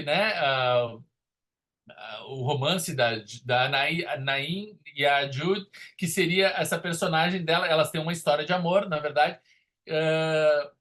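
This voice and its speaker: A man's voice: on the high side, fairly bright tone. A man with a southern Brazilian accent in a casual, opinionated film discussion, telling stories in an emphatic, colloquial way as a podcast host.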